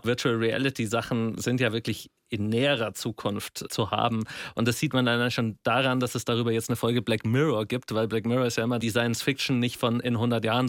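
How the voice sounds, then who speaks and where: A man's voice: medium pitch, no room sound. A man co-hosting a casual radio talk in a studio, speaking colloquially and telling things like anecdotes. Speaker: radio show co-host.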